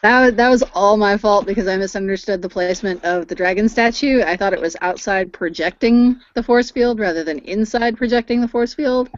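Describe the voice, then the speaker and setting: A woman with dry, deadpan wit, chatting casually with a group. Her pitch is middling and the recording is dull and lo-fi. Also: brisk, few pauses, no room sound. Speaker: cast member. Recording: dull lo-fi sound.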